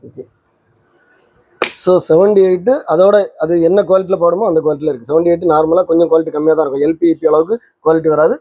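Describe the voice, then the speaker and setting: A man presents an informal demonstration. His voice sits a little high for a man and has a soft, mellow tone. He speaks quickly, guiding viewers step by step, in a close, dry sound. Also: steady tone, breathy edge, no echo, poor audio. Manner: explains step by step, addressing viewers directly. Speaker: presenter of a record player tutorial channel.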